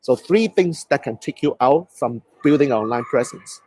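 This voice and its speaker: A man's voice: mid-range voice, expressive, normal speed, dark tone. Hong Kong-accented man coaching on a live stream. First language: Cantonese. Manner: motivational, asks the audience questions. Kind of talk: Facebook Live talk.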